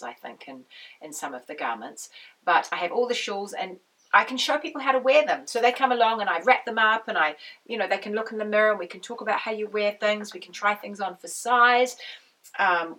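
A woman hosting her knitting podcast with a husky, croaky voice, chatting in a rambling, friendly way. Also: lowish voice, bright, forceful tone, recorded from a distance, poor audio. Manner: rambling, friendly, with many restarts and laughs. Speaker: podcast host and knitwear designer. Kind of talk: casual chatty monologue.

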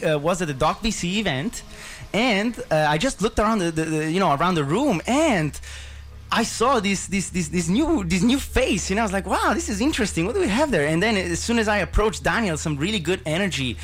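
A man's voice: high-pitched, a bit bright. A man hosting a radio film show, chatting enthusiastically with many fillers and restarts. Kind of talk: casual radio interview. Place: radio booth.

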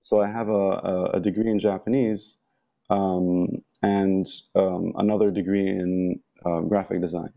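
A man's voice: deep voice, level tone, a little slow, gravelly, warm tone, muffled recording. Rambling young man from Egypt. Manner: rambling, many ums and restarts. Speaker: guest. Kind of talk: casual interview talk.

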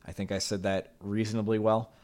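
A man co-hosting a podcast, setting up the discussion and teasing his brother. His voice sits fairly low. He talks in a relaxed, chatty way. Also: brisk. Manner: teasing, introduces topics. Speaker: podcast co-host. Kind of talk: casual comedic chat.

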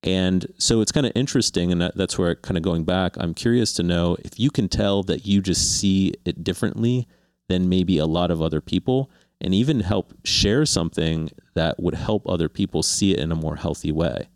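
A man hosting a conversational interview, putting his questions in a thoughtful, halting, rambling way. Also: sits low, a little mellow, no room sound, studio quality. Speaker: interviewer, host. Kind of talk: conversational long-form interview.

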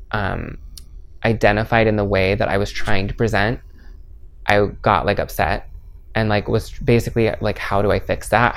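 A man's voice: fairly low pitch, vocal fry. A man in his twenties talking openly in a casual interview, easygoing and candid, with many filler words. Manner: chatty, frequent filler "like".

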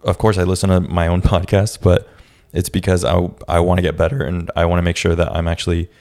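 A young man hosting his own podcast, talking in a casual, reflective way with a raspy voice and many restarts. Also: sits low, little pitch movement, a little fast, darkish tone. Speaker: podcast host. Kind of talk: casual solo podcast talk.

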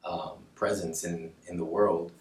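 A man's voice: low voice, fairly even intonation, a little slow, darkish tone, distant mic. A man from Colombia talking reflectively about himself as a podcast guest. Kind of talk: reflective personal interview talk. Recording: roomy echo, away from the mic.

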